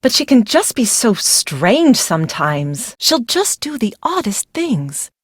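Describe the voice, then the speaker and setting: A woman's voice: on the high side, big pitch swings, slow pace, slightly rough, no room sound, studio quality, full character voice. An actress playing a secretive, fatalistic young woman in a mystery video game, unwell, irritable and guarded. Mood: uneasy, confiding. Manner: guarded, brooding, dramatic. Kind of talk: scripted video game character dialogue.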